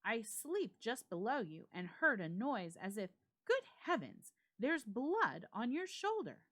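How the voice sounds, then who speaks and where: A woman voicing an excitable, urgent gentleman friend in a ghost story, in an exclamatory manner. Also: on the high side, slow pace, pitched above the performer's own voice, exaggerated voice. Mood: startled alarm.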